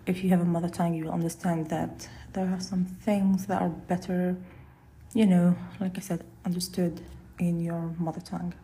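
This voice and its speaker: A young woman whose first language is Arabic, hosting a solo podcast in a soft, often breathy and half-whispered, rambling way. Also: lowish voice, normal speed. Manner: relaxed, rambling, with fillers and restarts. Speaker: podcast host.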